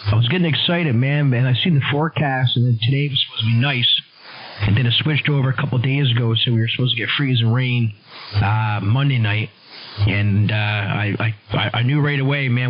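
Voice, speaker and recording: A man with a North American accent chatting casually over radio, in laid-back, matey slang. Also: medium pitch. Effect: radio transmission. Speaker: radio operator. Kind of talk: casual chat. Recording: dull, hissy CB radio signal.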